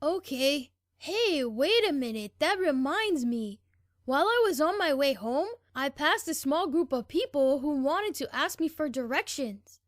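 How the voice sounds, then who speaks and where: Woman as high-pitched, excitable little boy. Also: measured pace, dry recording, clean studio sound. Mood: earnest. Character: excitable, bashful little boy, easily embarrassed. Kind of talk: fan audio drama acting.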